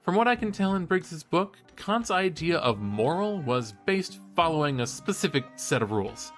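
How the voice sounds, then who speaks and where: A man's voice: fairly high pitch, normal speed, faint music behind. A man playing a cheeky, enthusiastic college student who hosts a podcast, chatting playfully in an audio drama. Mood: eager, explanatory. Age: young adult. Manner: playful, casual, animated storytelling. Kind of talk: bantering, conversational podcast-style audio drama.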